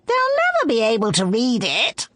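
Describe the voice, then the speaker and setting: Storybook raven: old, grumpy, crackly and scornful, high and swooping , put on by a woman narrator. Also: wildly swooping pitch, moderate pace, fairly bright tone, dry recording, studio quality. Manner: cackling, scornful. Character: sneering cynical old male raven.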